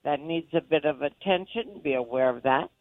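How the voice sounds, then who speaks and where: A woman calling in as a radio psychic, giving slow, emphatic pronouncements. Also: sits low, no room sound. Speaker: radio psychic guest on the phone. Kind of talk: phone-in reading. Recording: tinny phone line.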